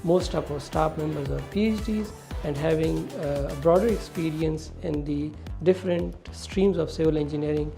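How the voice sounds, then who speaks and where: A woman's voice: deep voice, normal speed, creaky, hardly any pauses, music in the background. A woman on the college staff explains its training in a formal way. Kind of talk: promotional interview.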